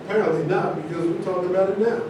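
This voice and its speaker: A man acts out a cynical, outspoken former boxer in a staged reading. His voice sits a little high, blunt and emphatic, heard from a distance in a very echoey room with rumbling noise. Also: fairly even intonation, very fast, lightly acted. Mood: blunt, insistent.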